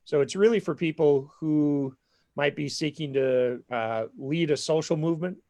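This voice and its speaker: Minnesota-accented man explaining calmly, dry sound. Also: fairly high pitch, no room sound. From southern Minnesota. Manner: measured, explanatory, frequent filler pauses. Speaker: academic dean and professor. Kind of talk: online info session conversation.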